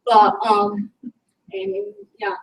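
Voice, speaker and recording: A woman's voice: fairly high pitch, big pitch swings, extremely slow, vocal fry. A middle-aged woman with a Japanese accent, a native Japanese speaker, giving an informal conference presentation as a linguistics teacher and often searching for words. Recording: echoey room, distant mic, faint noise.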